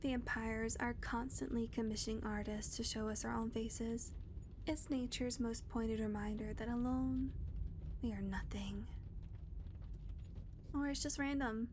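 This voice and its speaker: A woman voicing a blunt, foul-mouthed female vampire in an audio roleplay, talking sarcastically and dropping into soft murmurs. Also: a little high, steady tone, measured pace, noisy background, close to an everyday voice. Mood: musing.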